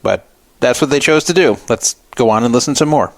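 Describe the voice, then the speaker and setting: A man's voice: mid-range voice, brisk, no echo. A man hosting a radio show, giving casual critical commentary in a sarcastic, mocking manner.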